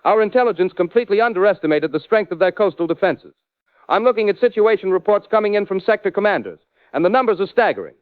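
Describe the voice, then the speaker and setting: Through a tinny, narrow radio link comes a high-pitched American war correspondent of the 1940s, played by a man. He is shaken and urgent, giving florid eyewitness reports in the manner of period radio drama. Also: expressive, quick pace. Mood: grave, urgent. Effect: radio transmission filter.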